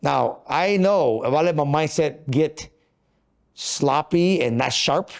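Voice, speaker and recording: A man's voice: fairly high pitch, animated, takes it slowly, gravelly. Earnest, coaching man in his fifties. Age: 50s. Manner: earnest, coaching, asks himself rhetorical questions. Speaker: podcast co-host. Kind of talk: motivational podcast talk. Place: office meeting room.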